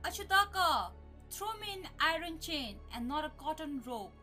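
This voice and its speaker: A woman playing a wary, clever young village girl in an animated folktale. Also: high-pitched, normal speed, faint music behind, exaggerated voice. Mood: desperate. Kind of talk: animated folktale character acting.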